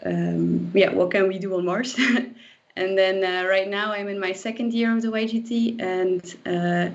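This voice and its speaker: A woman's voice: medium pitch, fairly even intonation, unhurried. A woman in her twenties from Belgium, a guest casually introducing herself on a livestream over a lo-fi online call. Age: 20s. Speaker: guest, young trainee engineer. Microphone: video call microphone. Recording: dull lo-fi online call audio.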